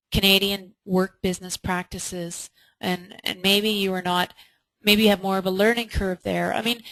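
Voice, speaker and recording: A woman's voice: mid-range voice, dry recording, low-quality recording. Emphatic woman teaching a webinar, dry sound. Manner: emphatic, asks rhetorical questions. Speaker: webinar presenter and resume instructor. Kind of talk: webinar teaching, answering participants' questions. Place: indoors, online webinar.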